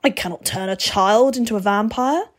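A woman's voice: medium pitch, normal speed, close to an everyday voice. A woman voicing a stern, menacing male vampire with formal, clipped, growling speech, in a storybook reading. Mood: firm refusal.

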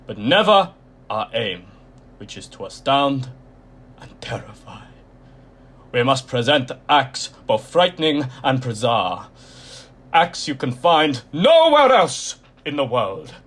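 A man voicing an ancient circus ringmaster, low and croaky, announcing the show in a menacing, theatrical way. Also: a bit bright, full character voice.

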